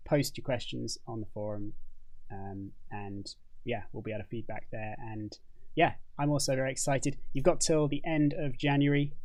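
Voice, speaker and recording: A man's voice: mid-range voice, rough recording. Chatty British man hosting a livestream. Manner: relaxed, chatty, with frequent fillers and restarts. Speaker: host. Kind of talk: casual livestream chat. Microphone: condenser mic.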